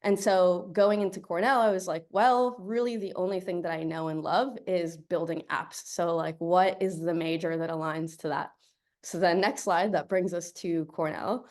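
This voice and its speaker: A young woman from Colombia whose first language is Spanish, speaking as a guest over a video call. She is chatty and upbeat and laughs easily. Her voice sits fairly low for a woman. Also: steady tone, normal speed, darkish tone.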